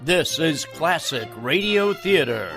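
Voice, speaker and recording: A man's voice: on the high side, lively intonation, slow, creaky, over music. A man announcing a radio program in a theatrical show-intro style.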